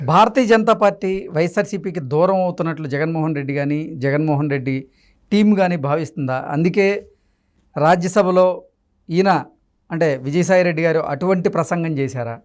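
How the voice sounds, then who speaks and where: A man's voice: a little high, normal speed. A man acting as interviewer in a political discussion.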